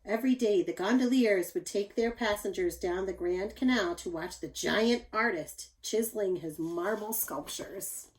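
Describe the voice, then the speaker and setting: A woman's voice: medium pitch, normal speed, hardly any pauses, low-quality recording. Woman reading a picture book aloud. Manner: animated, addresses young listeners with asides. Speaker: teacher reading aloud to children.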